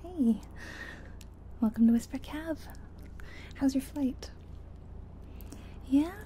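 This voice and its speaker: A soft-spoken woman doing an ASMR roleplay as a friendly, chatty taxi driver, in a gentle, whispery delivery. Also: sits high, slow. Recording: faint background noise of game car sounds. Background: car sounds from a driving game.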